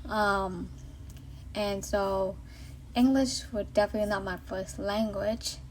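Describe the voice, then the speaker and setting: A woman's voice: medium pitch, fairly even intonation, slow, slight background noise. Earnest woman with a Boston accent. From Boston area, USA. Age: late 30s. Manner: earnest, rambling, many fillers and restarts. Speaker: vlogger sharing her faith testimony. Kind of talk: casual spoken testimony. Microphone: iPhone.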